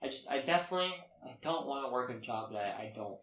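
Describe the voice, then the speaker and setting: A man's voice: mid-range voice, lively intonation, quick pace, a bit bright, recorded from a distance. A young adult man co-hosting a podcast in casual, rambling, slangy and jokey chat. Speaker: podcast co-host. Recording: echoey room.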